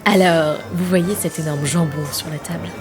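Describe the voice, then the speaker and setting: A woman's voice: low-pitched, steady tone, normal speed, faint music behind, natural voice. A woman playing a short-tempered, sarcastic time traveller in a comedic French-language audio drama, indignant and emphatic. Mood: wry.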